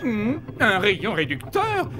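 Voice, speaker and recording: A woman's voice: medium pitch, huge pitch swings, slow pace. A woman voicing a pensive, pedantic and curious brainy cartoon oddball who muses with deliberation. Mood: puzzled. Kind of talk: cartoon voice acting. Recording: clear music under the voice.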